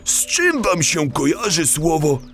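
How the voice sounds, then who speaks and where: A man's voice: on the high side, big pitch swings, measured pace, fairly bright tone, soft background music. A man voicing a friendly hippo dad, an enthusiastic ecologist explaining things to children in an audio play. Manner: enthusiastic, didactic, speaking to kids. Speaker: guest speaker to children.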